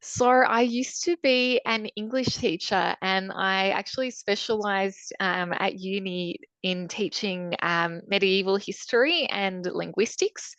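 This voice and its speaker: A woman talks through her answers in a relaxed, chatty way, pausing often with ums and restarting her sentences. Her voice sits at a middling pitch. The recording is dull and muffled, with a dry, close sound. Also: dry recording. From Croatia. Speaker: author guest. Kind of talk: casual interview answers.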